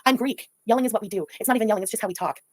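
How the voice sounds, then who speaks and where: A woman livestreamer talking loudly and profanely in casual chat. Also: medium pitch, slightly rough, no room sound, studio quality. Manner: loud, fast, profane.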